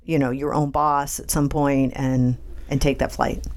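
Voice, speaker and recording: Female podcast host, deep for a woman, loose conversational questioning. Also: little pitch movement, measured pace, darkish tone. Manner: chatty, rambling questions with fillers. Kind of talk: casual interview.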